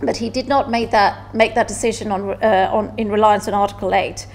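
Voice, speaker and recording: Halting woman speaking at a seminar. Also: mid-range voice, fairly even intonation. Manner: hesitant, halting, repeating words. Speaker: legal panel speaker. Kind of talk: seminar talk on case law. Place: indoor seminar room, seated at table.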